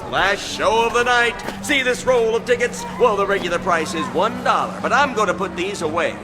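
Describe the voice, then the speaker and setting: A man playing a brash carnival pitchman in a radio drama, hard-selling a girlie show with showy, folksy patter. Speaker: carnival barker. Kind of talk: sideshow barker patter, radio drama acting. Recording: radio drama with prominent music behind. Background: loud music.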